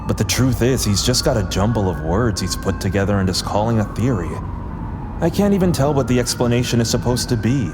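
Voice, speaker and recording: A weary male paramedic, played by an actor in an audio drama, speaking in a lowish voice. He is gruff, sardonic and fed up, yet dedicated, with clear vehicle noise around him. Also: lightly acted. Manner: sardonic, exasperated, swearing. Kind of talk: audio drama acting with internal narration. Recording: clear vehicle ambience behind the voice. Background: ambulance and traffic sound effects.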